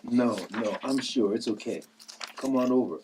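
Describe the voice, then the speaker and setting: A man's voice: medium pitch, normal speed, a little mellow, slight background noise, lightly acted, poor audio. A man playing an uneasy, defensive uncle in a table read of a drama script. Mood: groggy, obliging.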